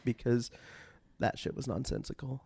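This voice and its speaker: A man's voice: medium pitch, fairly even intonation, moderate pace, gravelly, mellow, breathy edge, dry recording, studio quality. A man hosting a comedy podcast with casual banter, speaking in a campy, profane and opinionated way.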